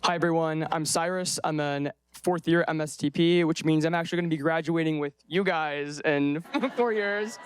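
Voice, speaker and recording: A young man on a student panel, with a fairly high, smooth, clear voice. He talks casually and says "like" a lot. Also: fairly even intonation, moderate pace. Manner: casual, lots of "like". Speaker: fourth-year MD-PhD student.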